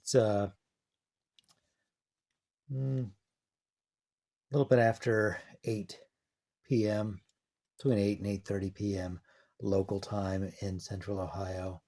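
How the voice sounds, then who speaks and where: A man's voice: lowish voice, little pitch movement, slow pace, pauses often, warm tone. A man giving casual, offhand, slightly wry hands-on commentary as a hobbyist reviewer. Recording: noisy with clicking.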